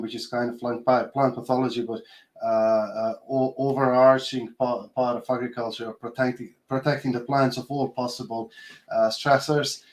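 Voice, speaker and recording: Bosnian guy presenting research on a video call, mid pitch, kinda halting, lots of uhs. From Bosnia and Herzegovina. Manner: hesitant, halting, frequent filled pauses. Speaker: postdoctoral researcher giving a talk. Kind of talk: informal online conference presentation.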